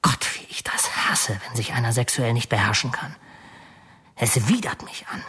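An actor playing a brooding male killer who confesses matter-of-factly and haltingly, as in a radio drama. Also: mid-range voice, bright, forceful tone, breathy edge, in a whisper. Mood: disgusted, hushed. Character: confessing male killer, bitter, brooding, oddly soft-hearted. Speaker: actor narrating as a murderer.

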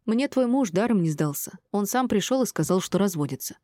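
A woman voicing an exasperated, indignant divorced woman with a sharp tongue in an audiobook drama. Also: medium pitch, lively intonation, a little fast, dry recording, clean studio sound, voice pushed up, close to an everyday voice. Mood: offended, irritated. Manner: irritated, indignant.

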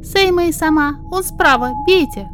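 A woman's voice: high-pitched, unhurried, a bit bright, soft background music. A woman voicing a tender, urgent spirit mother who pleads in dramatic verse, in an audio fan-fiction reading.

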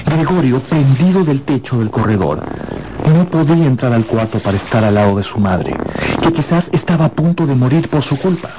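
A man's voice: mid-range voice, lively intonation, a little fast, background noise. A man narrating a radio drama in a theatrical, suspenseful style. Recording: radio production with loud music bed.

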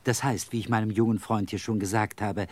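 Guy acting humble wheedling fella in a radio drama, raspy, lowish, very up and down. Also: level tone, a little fast, dry recording, lightly acted. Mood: wheedling, apologetic. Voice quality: husky. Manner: obsequious, roundabout. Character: wheedling, polite working man begging for a tip. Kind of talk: German radio play acting.

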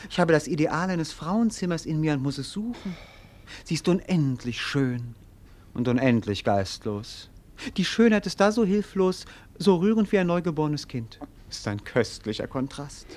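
An actor playing a melancholy, dreamy young prince in a classic radio play, with a lyrical, languid, declamatory delivery. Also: male voice, on the high side, animated, moderate pace, darkish tone, natural voice. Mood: dreamy, rhapsodic.

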